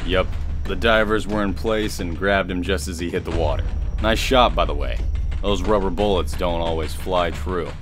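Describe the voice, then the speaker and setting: Man playing laconic lawman, very deep voice, low-key, radio drama, music clearly behind. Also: moderate pace, natural voice. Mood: calm, approving.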